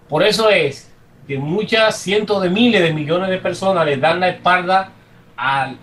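Dominican man, higher-than-average voice, emphatic off-the-cuff faith commentary, slightly distant microphone. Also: a bit bright. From Dominican Republic. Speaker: congregant giving comments. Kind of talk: impromptu religious testimony.

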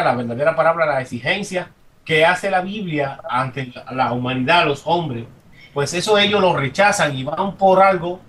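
Dominican man, higher-than-average voice, emphatic off-the-cuff faith commentary, slightly distant microphone. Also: fairly bright tone. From Dominican Republic. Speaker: congregant giving comments. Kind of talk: impromptu religious testimony.

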